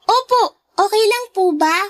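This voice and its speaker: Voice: very high voice, measured pace, dry recording, studio quality. A performer voicing a sweet, timid and polite young girl in a radio drama. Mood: eager, polite. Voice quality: bright, childlike. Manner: polite, deferential.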